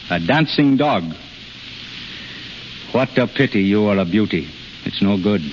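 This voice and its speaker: A man's voice: fairly low pitch, natural voice. Man playing a brash, cocksure healer in muffled radio drama. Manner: blunt, theatrical, punctuated with "eh?". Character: brash, cocksure unqualified healer, taunting and persuasive. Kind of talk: 1930s radio drama acting. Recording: muffled, noisy 1930s radio recording.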